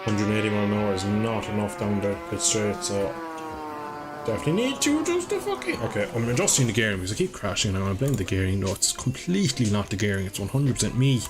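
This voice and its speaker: A low-voiced man talks through a live motorbike game stream in a rambling, chatty way, swearing under his breath at every crash. Loud music pounds behind him over the roar of the game. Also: big pitch swings, fast. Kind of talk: live gameplay commentary.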